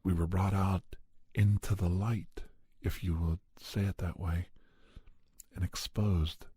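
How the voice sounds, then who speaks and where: Man, soft raspy dark voice, slow hushed ASMR storytelling, close dry mic. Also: steady tone, dry recording. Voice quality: soft, breathy, raspy low voice. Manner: slow, hushed, deliberate delivery. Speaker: podcast host and storyteller. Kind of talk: soft ASMR first-person horror storytelling.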